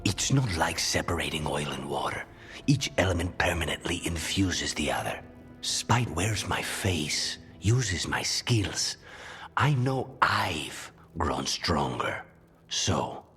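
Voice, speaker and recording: Low, brooding possessed assassin. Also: male voice, a bit bright, full character voice. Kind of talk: video game cutscene acting.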